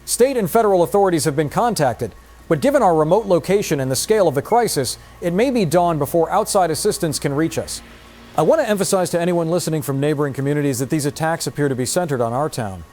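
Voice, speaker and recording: A male actor plays an emergency broadcaster in a radio play. He speaks formally and solemnly in a somewhat high male voice, measured as a news bulletin, with music behind him. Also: expressive, darkish tone, natural voice.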